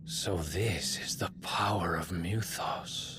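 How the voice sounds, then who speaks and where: An actor playing a brooding, wary warrior in a fantasy game, speaking in a hushed, grim and terse way. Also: male voice, low-pitched, takes it slowly, noisy background. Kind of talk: dramatic fantasy game acting. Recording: loud music over the voice.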